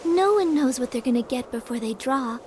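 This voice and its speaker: An earnest young girl, high and bright-toned with a lively rise and fall. She speaks quickly and with quiet resolve. Faint noise under the track. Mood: determined. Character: young girl, hopeful and determined. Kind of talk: video game cutscene dubbing.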